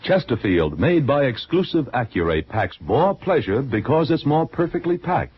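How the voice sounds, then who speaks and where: A man reading a scripted 1950s radio advertisement as a pitchman. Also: very animated, normal speed. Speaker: commercial pitchman. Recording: muffled old radio advertisement. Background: music.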